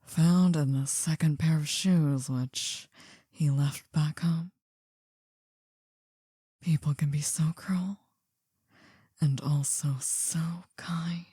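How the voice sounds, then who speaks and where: An older female crime boss, acted by a woman, murmurs right into the ear in a very dark, mellow whisper. She is slow and deliberate, breathy and possessive, soft but dangerous in an ASMR roleplay, recorded close and dry. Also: sits low, steady tone, no room sound, full character voice. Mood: wistful, confiding. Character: possessive older female mafia boss, soft-spoken, coaxing yet menacing. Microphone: close dry microphone.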